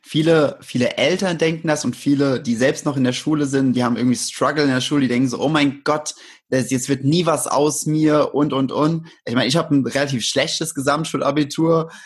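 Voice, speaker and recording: Husky, upbeat podcast host. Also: male voice, medium pitch, fairly even intonation, brisk. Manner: enthusiastic, laughs often, casual slang. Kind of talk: casual interview talk.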